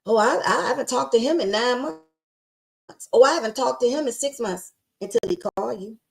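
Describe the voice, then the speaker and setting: A woman's voice: on the high side, normal speed, creaky. A middle-aged woman with a scratchy voice hosting a podcast, talking in a sassy, emphatic and preachy way with lots of slang. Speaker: podcast host. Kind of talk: casual motivational chat.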